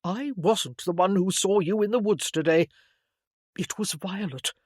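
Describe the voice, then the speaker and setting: A narrator voicing an earnest, apologetic young gentleman with a formal, emphatic way of speaking, in an audiobook story. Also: mid-range voice, measured pace, no echo, clean studio sound, pitched above the performer's own voice, natural voice. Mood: remorseful.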